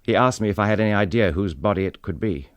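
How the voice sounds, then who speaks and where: Man playing tormented gentleman, English accent, low pitch, clipped and intense, radio drama, dry studio sound. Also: fast talker, no echo, close to an everyday voice. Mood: tense, subdued. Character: tormented English gentleman husband confessing to killing his wife.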